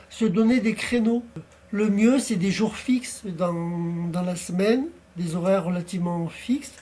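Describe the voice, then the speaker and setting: Practical man in his fifties, husky and mellow, plain-spoken interview guest. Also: high voice. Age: 50s. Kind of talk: casual interview talk.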